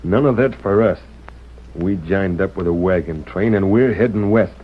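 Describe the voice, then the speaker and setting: An actor playing a jovial, taunting outlaw schemer with an American accent and folksy slang, in old radio western style. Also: male voice, deep voice, moderate pace, husky. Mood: mocking. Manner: folksy, taunting, chuckling drawl. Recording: muffled old radio broadcast.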